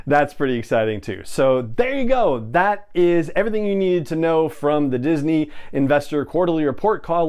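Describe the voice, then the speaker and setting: A man's voice: fairly high pitch, darkish tone. A man hosting a podcast solo, giving fan news commentary in a conversational way with chatty asides. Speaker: podcast host.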